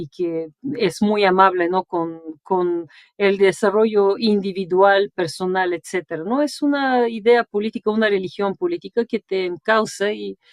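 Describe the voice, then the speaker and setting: A woman's voice: mid-range voice, no room sound. Woman in her forties, interview guest, chatty storytelling, dry close sound. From Bulgaria. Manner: tags nearly every phrase with a questioning "no", laughs while telling stories. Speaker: interview guest, sinologist and translator.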